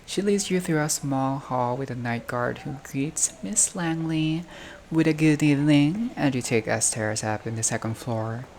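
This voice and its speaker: A gamer reading visual novel text aloud in a halting way, breaking off for casual asides and reactions. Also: medium pitch, darkish tone. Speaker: gaming video creator playing a visual novel. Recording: clearly noisy home recording, unstable audio.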